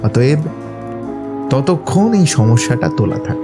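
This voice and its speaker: A man's voice: medium pitch, sing-song, unhurried, gravelly. A man playing a self-assured, analytical detective in an audio drama, explaining things in an assured, instructive way. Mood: decisive. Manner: assured, didactic, explaining deductions step by step. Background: prominent background music.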